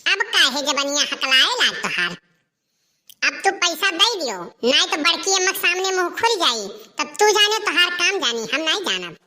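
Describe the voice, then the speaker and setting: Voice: extremely high pitch, very animated, moderate pace, sharp tone, full character voice. A performer voicing a cheeky, scheming village boy in a comic cartoon, whining and insistent, with an Awadhi accent. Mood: cheeky, threatening. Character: cheeky scheming village lad, lovestruck, blackmailing his uncle.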